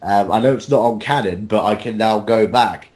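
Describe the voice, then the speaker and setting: Casting: man with a lowish voice, casual interviewee, hesitant and self-deprecating. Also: moderate pace, a little mellow. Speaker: cast member in a group interview. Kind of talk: casual chat.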